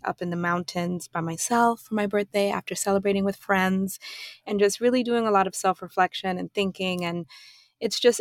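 A woman from Germany hosting her own podcast, talking through her thoughts in a calm, reflective solo monologue. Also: medium pitch, gravelly, dry recording, studio quality. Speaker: podcast host.

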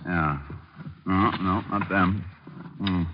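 An American actor playing a suave, wisecracking private detective with dry, flippant delivery in vintage radio drama style. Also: male voice, low voice, steady tone. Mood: earnest, persuasive. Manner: flippant, quick-witted, dry sarcasm. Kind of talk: late 1940s to early 1950s radio acting. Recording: muffled, noisy vintage radio recording.

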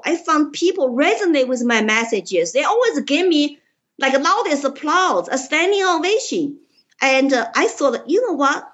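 A woman's voice: high voice, fairly bright tone, highs cut off. Earnest woman with a Chinese accent. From China. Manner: earnest, emphatic, simple grammar. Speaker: political candidate, guest. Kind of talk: interview storytelling.

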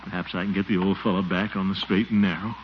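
An actor playing a pompous, excitable middle-aged small-town commissioner in 1940s radio comedy style, given to blustering and muttered asides. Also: male voice, sits low, fast, natural voice. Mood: musing, sorrowful. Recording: dull, noisy 1940s radio recording.

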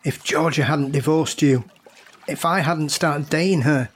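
A man's voice: a little high, measured pace, breathy edge. A man playing a grieving, defensive brother, a worn-out diver, in blunt, terse audio drama acting. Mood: haunted, subdued.

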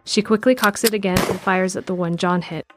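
A woman narrating an audio drama in a calm, steady read. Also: medium pitch, a little fast, over music.

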